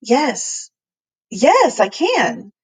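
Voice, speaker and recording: A woman's voice: high voice, huge pitch swings, extremely slow, breathy edge, voice pushed up, heavily acted. A woman voicing an eager, earnest young boy with simple, childlike phrasing. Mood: concentrating.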